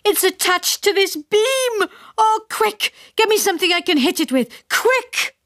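A woman's voice: very high-pitched, huge pitch swings, normal speed, fairly bright tone, pitched above the performer's own voice, heavily acted. A woman voicing a panicky middle-aged father, frantic and shrieking in alarm. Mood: panicked.

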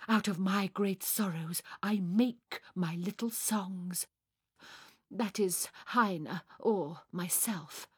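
A woman's voice: mid-range voice, slow pace, breathy edge, no room sound, clean studio sound. A woman playing a theatrical, self-pitying young woman with grand artistic airs in a dramatised literary story reading. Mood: wounded, lofty. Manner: theatrical, swooning, emphatic delivery.

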